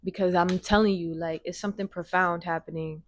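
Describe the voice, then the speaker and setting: A woman in her twenties talking straight to camera in a casual, rambling vlog, excited and repeating her phrases, speaking into a handheld microphone. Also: fairly low pitch, a little fast. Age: 20s. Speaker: vlogger.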